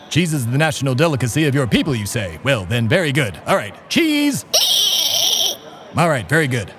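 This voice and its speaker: A man's voice: medium pitch, natural voice. An actor playing a grandiose, scheming male embodiment of America, speaking with theatrical formality in a comedy audio drama. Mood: haughty, glib. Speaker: host of a comedy podcast.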